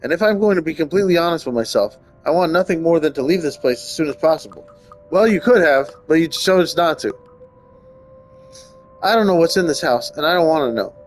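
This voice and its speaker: Male gaming host, slightly high voice, casual let's play, reads game text aloud, faint music behind. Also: animated, fairly quick.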